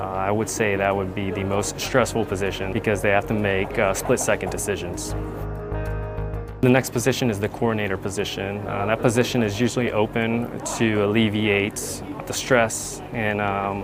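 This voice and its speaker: A man narrating an explanatory tour as an air traffic controller, in a measured, semi-scripted way. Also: lowish voice.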